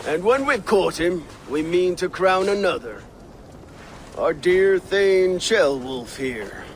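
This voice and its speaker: A stern Viking chieftain who gives slow, curt commands in a slightly raised voice. Faint noise sits under the game audio. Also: male voice, high-pitched, lively intonation, heavily acted. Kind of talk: video game cutscene acting.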